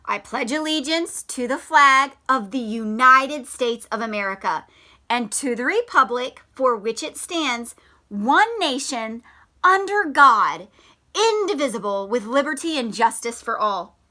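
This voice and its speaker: Female schoolteacher for a children's read-aloud lesson; bright, clearly forward tone, slow and deliberate, expressive delivery. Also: fairly high pitch. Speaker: elementary school teacher.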